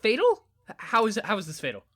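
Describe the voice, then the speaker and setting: A woman's voice: medium pitch, wildly swooping pitch, fast talker, a bit bright. A woman playing a stubborn, skeptical young friend in a naturalistic audio drama, with a dry, flippant delivery. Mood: panicked.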